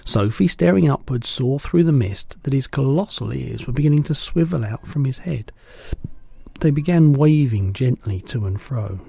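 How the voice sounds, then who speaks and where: A man, a teacher, reading a children's book aloud in steady, low-key narration. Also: moderate pace, gravelly, breathy edge, no room sound.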